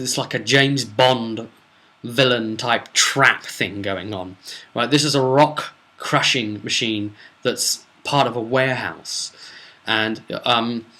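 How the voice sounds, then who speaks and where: A man casually recounting a tabletop role-playing session as game master, telling it in an informal, animated way with dramatic emphasis. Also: medium pitch.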